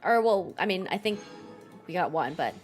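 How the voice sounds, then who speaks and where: A woman's voice: lowish voice, lively intonation, normal speed, soft background music. Casual chatty woman livestreaming a game. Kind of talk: casual livestream chat while gaming.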